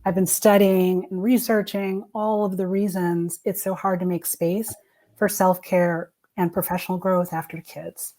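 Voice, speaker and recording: A woman's voice: fairly low pitch, level tone, unhurried, warm tone. Upbeat woman researcher on a panel. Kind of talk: panel discussion answer.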